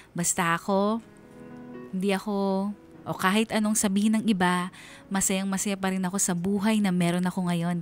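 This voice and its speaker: A woman's voice: mid-range voice, natural voice. A woman playing a young Filipino mother in a radio drama, narrating her life story in an earnest, heartfelt, conversational way. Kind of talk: scripted first-person radio drama narration.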